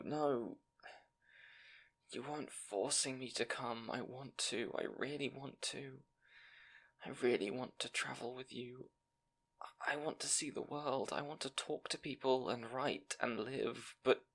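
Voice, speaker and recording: A man acting as a timid young fantasy scribe, full of self-doubt. He speaks slowly and softly, halting and stammering as he goes, in an intimate audio roleplay style. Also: mid-range voice. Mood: anxious, pleading. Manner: nervous, halting, stammering, soft-spoken.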